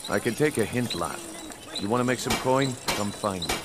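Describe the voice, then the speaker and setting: An actor playing a sly thief recruiter in a fantasy video game, with a knowing, insinuating manner. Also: male voice, on the low side, expressive, moderate pace, very noisy, natural voice. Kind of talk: video game character dialogue. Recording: loud game music behind.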